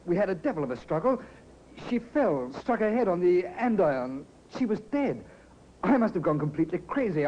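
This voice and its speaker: An actor playing a guilty, evasive criminal with halting speech in an old radio crime drama, on a muffled, hissy recording. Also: male voice, high voice. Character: guilty, evasive male criminal confessing under police questioning.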